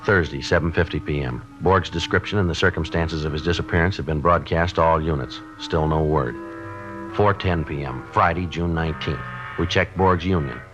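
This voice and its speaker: Deadpan low-voiced American police sergeant. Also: male voice, normal speed. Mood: deadpan, grave. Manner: deadpan, clipped, procedural. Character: terse, level-headed male police sergeant and narrator. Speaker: detective narrator. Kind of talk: 1950s radio drama, scripted narration. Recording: dull old radio recording, music behind.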